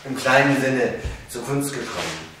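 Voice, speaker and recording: A man's voice: mid-range voice, expressive, unhurried. Distant man asking questions in a roomy space. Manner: informal, corrects himself mid-question. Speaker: interviewer. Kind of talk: interview questions. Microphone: off-camera, far from the mic. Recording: distant, very echoey room, faint hum.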